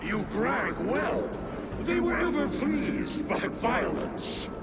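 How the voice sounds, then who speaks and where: An actor playing a proud, boastful old giant lord in a video game, grandiose and commanding. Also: male voice, very high-pitched, very animated, takes it slowly, loud music behind the voice, heavily acted. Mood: measured. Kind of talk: video game character acting.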